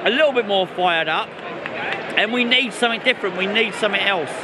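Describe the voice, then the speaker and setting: A man's voice: high-pitched, fast. A man hosting a fan vlog, commentating and interviewing mates with matey, sweary banter. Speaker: fan channel host and interviewer. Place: outdoors in a crowded football stadium. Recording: loud stadium crowd, noisy outdoor camera audio.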